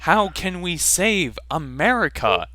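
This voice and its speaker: A man's voice: high voice, sing-song, takes it slowly, pushed and bright, no echo, voice pushed up, exaggerated voice. A man putting on a distraught adult male cat owner in an amateur fan fiction reading, pleading in a raised, melodramatic voice.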